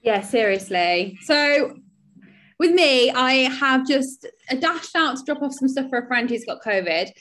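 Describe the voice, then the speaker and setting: A woman co-hosting a casual podcast, hurried and rushing between tasks. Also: high-pitched, animated, a bit bright. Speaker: podcast co-host. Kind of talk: casual chat.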